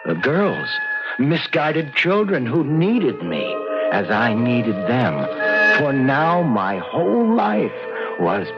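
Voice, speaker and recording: An actor playing a deluded, soft-spoken killer painter in a radio drama, dreamy and self-righteous, with lingering phrasing. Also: male voice, mid-range voice, huge pitch swings, slow pace, exaggerated voice. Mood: haunted reverie. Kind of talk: radio drama acting, first-person narration. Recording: dull old radio recording with loud music.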